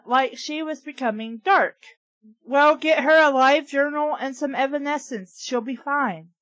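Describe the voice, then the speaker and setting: A high-pitched woman reads bad fan fiction aloud at an unhurried pace, switching from deadpan narration to sarcastic asides. The sound is dry and close, and a little dull and lo-fi. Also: no room sound. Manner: deadpan reading broken by sarcastic asides and giggles. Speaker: reader and podcast host. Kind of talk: comic dramatic reading of fan fiction.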